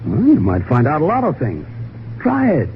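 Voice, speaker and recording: Animated, shrewd radio-mystery sidekick man. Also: on the high side, moderate pace. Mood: coaxing. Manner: coolly reasoning, colloquial, persuasive. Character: shrewd, steady detective-like confidant, coaxing and practical. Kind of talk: old-time radio mystery acting. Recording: muffled, noisy vintage radio recording.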